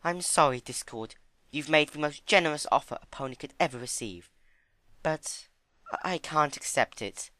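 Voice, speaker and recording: A man voicing a timid, gentle female pony with a soft, hesitant, earnest delivery in a story reading. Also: fairly high pitch, moderate pace, no echo, voice pushed up. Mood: apologetic. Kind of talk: dramatic fan-fiction reading.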